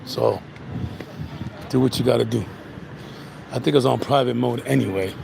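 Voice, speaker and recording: A man with a hoarse voice walking through town and chatting casually about the street and the places around him as he films. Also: mid-range voice, moderate pace, noisy background. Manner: rambling, laid-back, chatty tour commentary. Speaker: vlogger. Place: outdoors on a busy town street. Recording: outdoor street recording with music. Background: music from restaurants.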